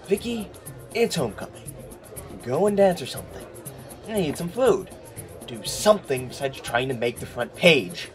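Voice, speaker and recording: A woman acts as a blunt, fed-up high schooler in an audio drama. The voice is deep for a woman and lively, with faint music behind. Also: normal speed. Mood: exasperated.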